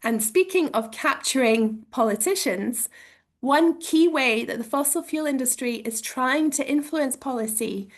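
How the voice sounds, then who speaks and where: A woman with a croaky voice from illness, giving an earnest campaign talk to a meeting over a video call. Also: high-pitched, measured pace. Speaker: campaign speaker. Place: at home, joining a meeting online.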